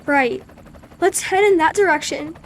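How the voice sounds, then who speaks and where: A young orphan boy, high pitched and earnest, kinda urgent, radio play. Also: measured pace, a little hiss or hum, poor audio.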